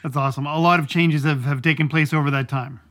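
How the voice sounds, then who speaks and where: Higher-voiced male interviewer. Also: steady tone, fast, fairly bright tone. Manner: brief, encouraging questions. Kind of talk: conversational interview.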